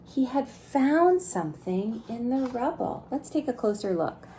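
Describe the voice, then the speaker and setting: Female preschool storyteller, mellow and unhurried, very animated pitch, gentle and engaging with little listeners. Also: slight background noise. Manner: gentle, asks the listening children questions. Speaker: preschool story time reader. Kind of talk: expressive picture-book read-aloud for young children.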